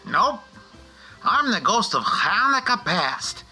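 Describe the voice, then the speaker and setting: Cheeky Hanukkah spirit, very sharp forceful voice, lively swooping pitch, brash teasing delivery, comic radio play. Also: male voice, takes it slowly, slightly rough, soft background music, heavily acted. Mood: brash, wisecracking.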